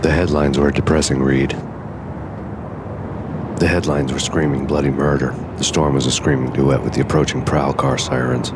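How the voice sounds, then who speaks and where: A deep, deadpan noir cop. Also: male voice, normal speed, background noise. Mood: grim. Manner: hardboiled deadpan noir monologue. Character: world-weary cynical male cop narrator. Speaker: noir detective narrator. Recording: game audio over loud music.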